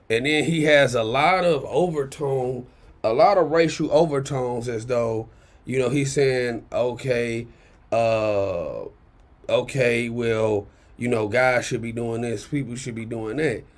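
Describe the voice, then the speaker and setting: Raspy, gravelly male commentator. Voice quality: raspy baritone. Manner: blunt, profane, repeats points with "okay?". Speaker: commentary channel host. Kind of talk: casual commentary rant.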